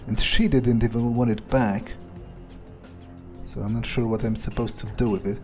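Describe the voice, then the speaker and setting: A man doing in-character game commentary, thinking aloud in a deliberate, dryly musing manner. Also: medium pitch, steady tone, darkish tone, soft background music. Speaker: let's player role-playing a vampire character.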